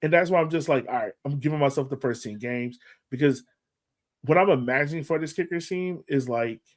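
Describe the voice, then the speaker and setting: A man's voice: fairly high pitch, fairly quick. A man co-hosting a sports podcast in casual fan chat, talking emphatically and repeating phrases to drive points home.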